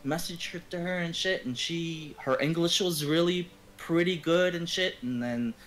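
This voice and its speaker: A man's voice: a little high, normal speed, a bit bright, rough recording. A man from Ontario, chatting casually as a game streamer in a rambling, slangy, swear-heavy way. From Ontario, Canada. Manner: rambling, slangy, profanity-laden. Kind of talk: casual profane chat.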